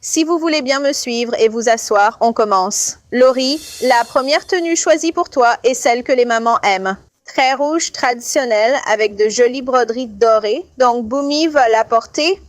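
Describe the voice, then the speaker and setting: A woman's voice: fairly high pitch, normal speed, dry recording, natural voice. A woman voicing an obliging bridal shop consultant guiding clients in a dubbed reality show. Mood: helpful. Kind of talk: dubbed reality show, customer service talk. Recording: faint music under the voice.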